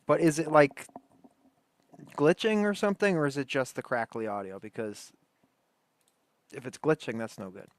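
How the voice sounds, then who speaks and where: A man's voice: mid-range voice, normal speed, long pauses, slight background noise. A man hosting a livestream, chatting casually with viewers. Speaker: livestream host.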